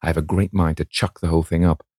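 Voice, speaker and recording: A brooding teenage brother, blunt and protective, as a man voices him. The voice stays low, husky and dark, read close to the mic in a dry space. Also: level tone, fast talker, dry recording. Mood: sullen. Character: sullen sixteen-year-old boy, protective brother.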